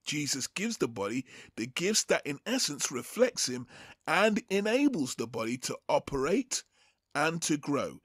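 A man teaching from the Bible as host, in a measured, deliberate and formal way. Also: medium pitch, a bit bright, no room sound, clean studio sound. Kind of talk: Bible teaching over slides, with prayer.

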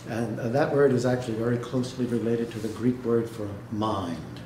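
A man giving a dramatic literary reading and talk to an audience, speaking in a measured way with pauses. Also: medium pitch, fairly even intonation. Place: indoor hall, seated before an audience. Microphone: microphone on a stand, recorded by a distant camera. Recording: echoey room, noisy background.

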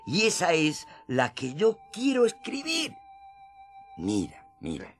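An actor playing a poetic former tax collector turned gospel writer, emphatic and persuasive, in a radio drama. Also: male voice, on the high side, huge pitch swings, slow, faint music behind, exaggerated voice. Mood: dreamy, poetic.

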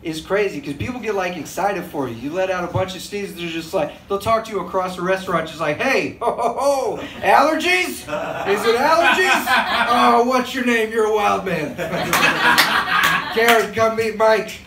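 A young man performing a stand-up set on a handheld mic in a small club, animated and acting out excited bystanders. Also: high voice, moderate pace. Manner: animated, acts out bystanders with big excited outbursts. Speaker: stand-up comedian. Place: small comedy club stage, indoors. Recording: echoey club room with crowd noise.

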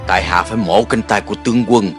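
A man playing a humble old physician in a dubbed historical cartoon, measured and respectful. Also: on the low side, steady tone, soft background music, lightly acted.

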